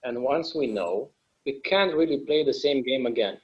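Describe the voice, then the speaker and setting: A weary, wistful man played by a male actor talks in a halting, everyday way, as in an intimate stage drama. His mid-pitched voice comes through a slightly dull recording. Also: expressive, close to an everyday voice. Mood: wistful, relieved. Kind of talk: conversational table-read acting.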